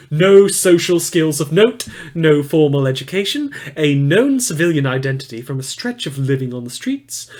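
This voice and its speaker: A man playing a snide, theatrical news presenter in a fan fiction podcast, sarcastic and mock-concerned, leaning on words for emphasis. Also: a little high. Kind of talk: dramatic in-character monologue for a fan fiction podcast.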